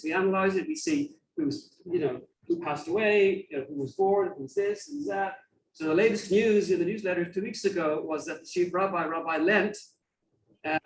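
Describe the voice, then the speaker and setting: An Irish man giving an informal lecture in a conversational way, repeating phrases for emphasis. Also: fairly high pitch. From Ireland, grew up in Dublin. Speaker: guest lecturer. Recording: roomy, distant mic with faint noise.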